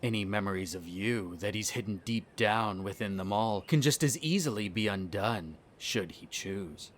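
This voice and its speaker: A man's voice: fairly low pitch, normal speed, slight background noise, close to an everyday voice. A man playing a smug, disdainful vampire noble in a scripted roleplay audio drama, speaking formally with sardonic condescension. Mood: cold disdain.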